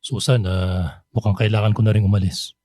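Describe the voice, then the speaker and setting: An actor playing a remorseful middle-aged father in a radio drama, speaking haltingly and apologetically. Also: lowish voice, flat delivery, no echo, studio quality, lightly acted. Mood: uneasy. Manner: halting, soft-spoken, apologetic. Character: remorseful middle-aged father, hesitant, pleading, hiding his true self.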